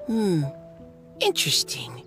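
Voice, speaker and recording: A woman voicing a gentle, thoughtful young female game character, earnest and polite. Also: on the low side, huge pitch swings, slow, soft background music, heavily acted. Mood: pondering. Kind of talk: English video game voice acting.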